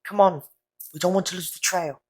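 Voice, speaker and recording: A woman playing a brave, determined schoolboy hero in a fan audio drama, urgent and earnest with a husky edge. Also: lowish voice, fairly even intonation, a little fast, darkish tone.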